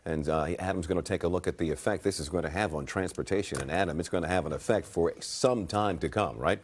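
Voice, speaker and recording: A man's voice: deep voice, fast, a little hiss or hum. A man anchoring a live television newscast. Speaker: news anchor.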